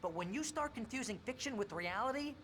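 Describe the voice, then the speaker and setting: A man playing a fast-talking, nervy, exasperated best friend in a video game, wisecracking and pleading dramatically. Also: extremely high pitch, lively intonation, fairly bright tone, a little hiss or hum.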